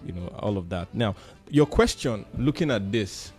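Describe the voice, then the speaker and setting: Male panelist, Nigerian accent, lowish voice, halting reflective delivery with repeated phrases, light music behind. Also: animated, a little mellow. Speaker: discussion panelist. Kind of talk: radio discussion.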